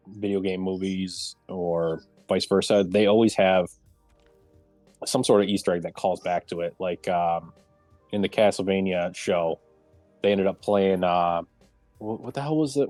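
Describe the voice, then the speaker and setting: A man with a fairly low voice hosts a casual group discussion. He sounds enthusiastic and full of opinions. The recording is dry and close, with faint music playing behind him. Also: a little mellow, no echo. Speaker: host of round-table discussion. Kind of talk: casual group chat about movies and games.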